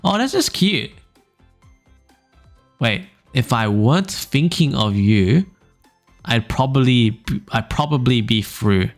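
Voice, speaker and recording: A young man hosting a casual podcast, reading funny stories aloud in a smooth low voice, rambling with fillers and small laughs. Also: slow, pauses often. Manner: relaxed, rambling, frequent fillers and little laughs. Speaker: podcast host. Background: faint background music.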